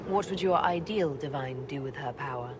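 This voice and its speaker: An actress playing a composed, level-headed female leader in a fantasy video game, calm and plain-spoken. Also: extremely low pitch, normal speed, noisy background. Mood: measured, attentive. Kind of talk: video game dialogue acting.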